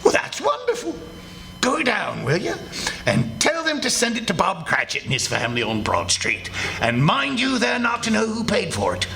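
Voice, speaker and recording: A man acting an excitable, theatrical old miser in a live radio play, exclaiming and repeating his phrases. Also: sits high, normal speed, creaky, a little hiss or hum, heavily acted. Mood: jovial, generous. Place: stage before a live audience.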